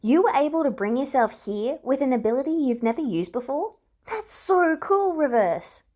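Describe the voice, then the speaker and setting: A woman voicing a boyish, excitable young man with a teasing streak in a story reading. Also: sits high, normal speed, pitched above the performer's own voice. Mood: amazed.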